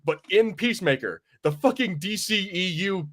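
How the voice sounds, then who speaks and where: A man's voice: sits high, lively intonation, normal speed, fairly bright tone. A man co-hosting a podcast in a casual, profane, rambling way. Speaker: podcast co-host. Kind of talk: casual pop culture podcast chat.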